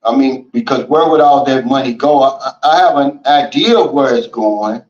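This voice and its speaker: A man hosting a casual livestream, reading out viewer comments and chatting in a relaxed, folksy way, often saying "man" and "you know". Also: mid-range voice, normal speed. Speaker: livestream host. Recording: roomy and dull, lo-fi.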